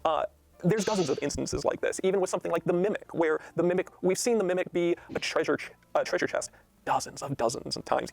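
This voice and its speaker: A man's voice: a little high, gravelly, a little mellow, dry recording. A man speaking as a game designer, explaining ideas expansively with lists of examples in a panel-style discussion.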